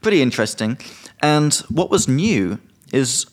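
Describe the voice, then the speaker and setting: A man's voice: mid-range voice, big pitch swings, unhurried. A young British man co-hosting a podcast, chatting casually and explaining English phrases with examples. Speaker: podcast co-host. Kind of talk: casual chat.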